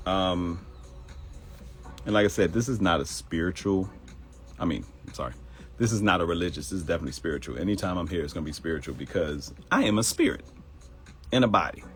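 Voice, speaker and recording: A middle-aged man with a Baltimore accent hosting a live morning show in casual talk, addressing viewers directly and acting out quoted voices. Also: low-pitched.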